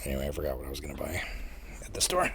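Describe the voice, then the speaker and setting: A man with a raspy voice co-hosting a podcast in casual, laid-back chat, swearing and laughing easily. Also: extremely low pitch, very animated, racing, a crowd in the background. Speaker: podcast co-host.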